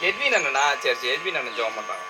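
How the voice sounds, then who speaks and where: A man bantering casually as the straight man in a live puppet show, gently scolding and conversational. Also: medium pitch, sing-song, fast talker, music in the background. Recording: very noisy live event.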